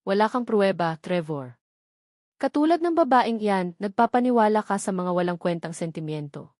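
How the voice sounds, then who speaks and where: A woman's voice: medium pitch, expressive, normal speed, a little mellow, dry recording, close to an everyday voice. Haughty older society matriarch, read by a female storyteller; imperious, disdainful, dry studio sound. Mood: haughty, scornful. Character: haughty, cold rich matriarch, older woman.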